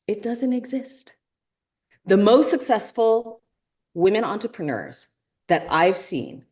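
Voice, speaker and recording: A woman speaking in a mid-range voice, presenting to an online audience. She talks in a coaching tone and slows down to stress key points, with a persuasive, upbeat delivery. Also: mid-range voice, darkish tone. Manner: emphatic, repeats key lines slowly. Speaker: webinar co-host. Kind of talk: motivational webinar talk.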